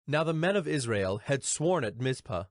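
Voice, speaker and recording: A man's voice: mid-range voice, very animated, normal speed, no room sound, clean studio sound. A man giving a scripted narration of a dramatized scripture audio production. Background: background music.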